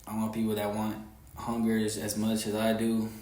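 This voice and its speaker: Flat, laid-back young Texan man. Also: lowish voice, moderate pace. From Houston, Texas. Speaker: podcast co-host. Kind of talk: casual podcast chat. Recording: echoey room with faint hum.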